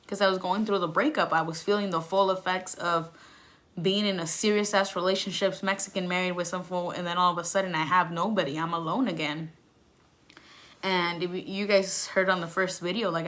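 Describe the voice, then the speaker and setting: Low-voiced young woman telling stories candidly. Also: a bit bright. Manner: candid, slangy, swearing freely; acts out past conversations. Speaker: vlogger. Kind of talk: casual personal storytelling vlog.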